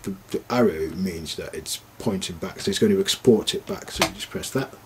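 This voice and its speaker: A man giving an informal, unscripted step-by-step device demonstration, haltingly thinking aloud as he works through it. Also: sits low, noisy background. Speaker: product demonstrator.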